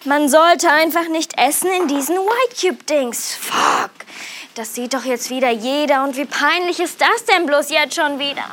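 A woman's voice: high voice, unhurried, fairly bright tone, a little hiss or hum. A woman playing an irritated, self-conscious culture worker, delivering a pointed, exasperated spoken-word monologue. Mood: embarrassed, irritated.

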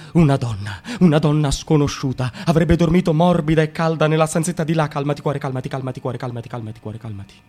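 Man playing an excitable young storyteller. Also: medium pitch, natural voice. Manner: comic, excitable storytelling that swings between hushed and frantic. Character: young man recalling a clumsy adolescence. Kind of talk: radio play narration.

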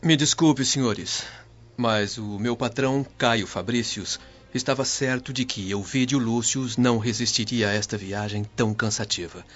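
An actor with a Brazilian accent playing a respectful, formal Roman manservant in a radio drama. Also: male voice, medium pitch, moderate pace, a bit bright, natural voice. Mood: polite, formal. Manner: formal, deferential. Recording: dull lo-fi radio drama over music.

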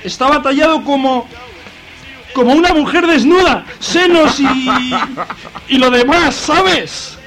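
A man's voice: very high-pitched, unhurried, long pauses, over music, pitched above the performer's own voice. A man voicing a prim, scandalised prehistoric wife, severe and embarrassed, in a radio storybook reading.

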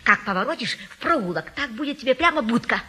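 An actress playing a crafty, garrulous old matchmaker in a classic stage comedy, folksy, chattering and quick to take offence. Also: female voice, a little high, lively intonation, bright, forceful tone, breathy edge, exaggerated voice. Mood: chatty. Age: older. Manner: folksy, sing-song, chattering. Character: crafty old village-style matchmaker woman, garrulous and huffy. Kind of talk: classic stage comedy audio play acting.

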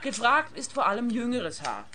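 A woman's voice: fairly high pitch, big pitch swings, moderate pace, a crowd in the background. A woman playing a blunt, matter-of-fact hairdresser in a radio play. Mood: dry, matter-of-fact.

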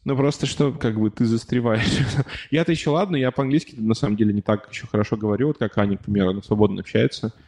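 A man's voice: on the low side, fast, hardly any pauses, darkish tone. A man chatting casually on a podcast, telling stories with many fillers and restarts. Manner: rambling storytelling with frequent fillers and restarts. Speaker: podcast guest living abroad. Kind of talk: casual chat.

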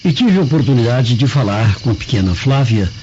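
A man's voice: mid-range voice, expressive, a little fast, dark tone, slight background noise, lightly acted. A man playing a bitter, suspicious Roman husband in a radio drama, with a probing, sardonic manner. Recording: dull lo-fi audio with music.

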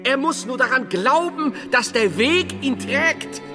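Devout medieval scholar, earnest, easily scandalised, high voice, radio drama, music behind. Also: male voice, lively intonation, unhurried. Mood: fervent. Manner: earnest, fervent, hesitant when puzzled. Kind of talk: German radio drama acting.

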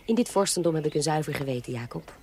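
A shrewd woman with a low voice, posing as nobility in a period audio drama. She speaks with self-assured command and protective firmness. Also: lively intonation, fast, mellow. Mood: assured, instructive.

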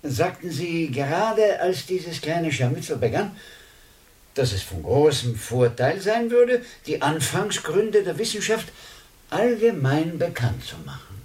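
An actor playing a genial, leisurely, eccentric gentleman with a courteous, ironic manner in a German radio drama. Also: a little high, sing-song, measured pace. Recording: distant from the microphone.

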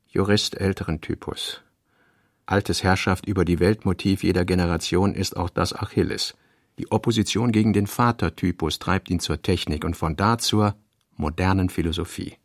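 Brooding novelist narrator, low husky voice, dry close sound, measured clipped phrasing, radio play. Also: male voice, no room sound.

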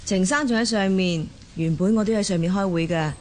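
A woman acting a busy working mother in a radio drama, with a Hong Kong Cantonese accent, expressive, colloquial and easily exasperated. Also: mid-range voice, fairly quick, lightly acted. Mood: chatty, weary.

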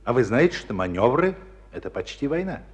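A man plays a military school commander in a radio play. His mid-pitched voice is stern and curt. He gives orders and questions with exacting authority. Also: sing-song, fairly quick, lightly acted. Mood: stern questioning. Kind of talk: Russian radio drama acting.

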